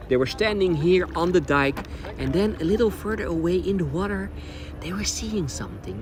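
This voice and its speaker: A man telling stories as a tour guide, in simple, repeated phrases, outdoors on the water. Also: on the high side. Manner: simple phrasing with deliberate repetition. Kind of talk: storytelling tour commentary. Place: outdoors on a small boat on the water. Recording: clear outdoor noise, water sounds.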